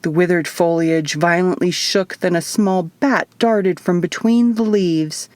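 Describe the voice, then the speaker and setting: Female audiobook narrator, fairly low voice. Measured, expressive first-person storytelling, dry recording. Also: no echo. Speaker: author reading own audiobook.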